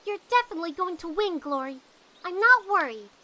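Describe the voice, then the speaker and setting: A woman's voice: extremely high pitch, lively intonation, brisk, bright, forceful tone, heavily acted. A woman voicing a small young dragon in a fan dub, loyal, cheery and a little huffy. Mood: cheerful.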